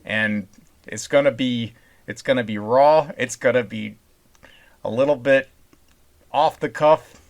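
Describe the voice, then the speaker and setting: A man's voice: medium pitch, a little slow, pauses often. A man co-hosting a podcast in casual, unscripted talk, with an opinionated, emphatic way of putting things.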